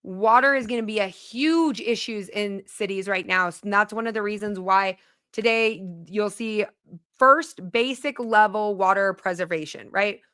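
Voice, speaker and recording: A woman's voice: medium pitch, a little slow, fairly bright tone, clean tone, dry recording, clean studio sound. A woman hosting a casual live stream from her home, chatting and reading viewer comments aloud. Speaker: livestream host.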